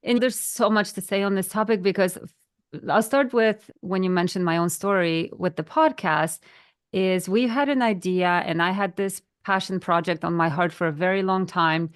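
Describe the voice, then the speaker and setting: A woman's voice: mid-range voice, normal speed, clean tone. A woman in her thirties from Poland with a Polish accent, co-hosting a podcast chat and talking earnestly, sometimes searching for words. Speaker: podcast co-host. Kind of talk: casual chat.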